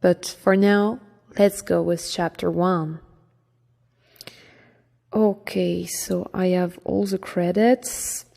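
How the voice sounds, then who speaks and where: A woman with a strong French accent reading a fantasy novel aloud as an amateur audiobook reader, slowly and carefully, sometimes stumbling. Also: on the low side, steady tone, creaky, dark tone. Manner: slow and careful, with some stumbles. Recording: long hall reverb.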